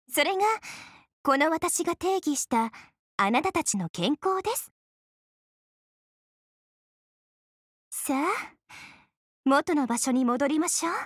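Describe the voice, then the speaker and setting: A woman's voice: high-pitched, dry recording, clean studio sound. A woman voicing a devilish young female AI in an anime game, coy and polite with a mock-sweet, sinister edge. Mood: sweetly menacing. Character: teasing, devilish young female moon super AI, sweetly menacing. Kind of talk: Japanese anime game voice acting.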